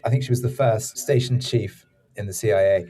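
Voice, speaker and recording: A man's voice: on the low side, fairly even intonation, brisk, warm tone. A man speaking as a drama director being interviewed, explaining things conversationally with loose, informal phrasing. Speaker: audio drama director and executive producer, interview guest.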